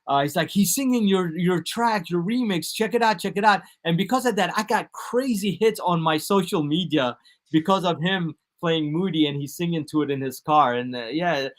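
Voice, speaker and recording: Relaxed American man chatting with fillers. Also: high voice, moderate pace. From United States. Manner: relaxed, rambling, lots of fillers and restarts. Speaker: guest DJ and producer. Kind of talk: casual interview chat.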